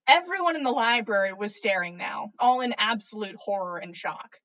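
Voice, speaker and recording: A woman's voice: medium pitch, animated, moderate pace, fairly bright tone, highs cut off. A woman reading fan fiction aloud as a podcast host, narrating with playful, theatrical emphasis. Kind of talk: dramatic story narration.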